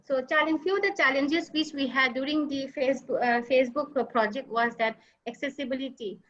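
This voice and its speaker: A woman giving a slide presentation as a nurse leader, halting often with fillers and restarts. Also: high voice, a bit bright. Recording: dull lo-fi online audio.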